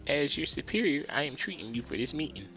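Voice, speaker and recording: A man's voice: on the high side, very animated, fast talker, pushed and bright, soft background music, voice pushed up, poor audio. A man putting on a tiny furious officer woman. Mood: stiff, formal. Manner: stiff, formal, barking and scornful. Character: tiny furious female officer, stiff and formal.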